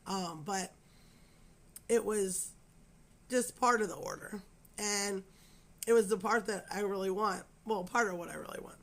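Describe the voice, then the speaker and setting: A woman hoarse from a cold, her voice raspy and scratchy. She talks to her viewers at home in a loose, rambling way, full of fillers and apologies. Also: medium pitch, a little slow, long pauses. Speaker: crochet channel host. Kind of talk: casual chat to camera.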